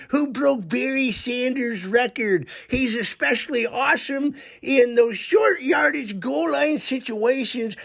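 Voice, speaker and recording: Someone doing a crude rambly sports fan leaving a joke voicemail, gravelly n slow, loves bad puns. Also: sits high, a bit bright, exaggerated voice. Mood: jokey, folksy. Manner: rambling, slow, pun-happy comic delivery. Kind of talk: comic voicemail sports commentary.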